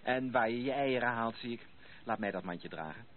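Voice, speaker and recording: An actor plays a well-mannered gentleman who teases gently, in a mid-range male voice. It sounds like an old radio play, narrow and slightly noisy. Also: mid-range voice, moderate pace, lightly acted. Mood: courteous, teasing. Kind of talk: Dutch radio play acting. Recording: narrow-band old radio recording with noise.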